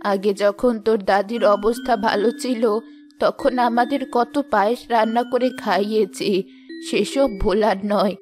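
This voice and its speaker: A woman voicing a kindly, careworn village grandmother in an animated moral story, affectionate and gently scolding. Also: a little high, normal speed, lightly acted. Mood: wistful. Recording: music behind the voice.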